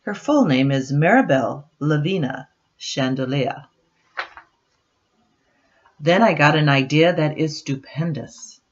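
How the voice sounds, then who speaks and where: An older woman reading a picture book aloud to her grandchildren, chatting to them expressively as she goes. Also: low voice. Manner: expressive, addressing children directly. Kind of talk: read-aloud storytelling with chatty asides. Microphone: computer webcam microphone. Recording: dull, lo-fi home webcam sound.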